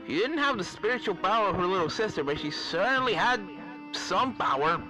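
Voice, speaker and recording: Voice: mid-range voice, animated, a little fast, pushed and bright, low-quality recording. Narrator doing kindly cartoon professor, fretful, hesitant, muttering advice, music behind. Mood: wry. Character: fretful kindly professor advising over a communicator.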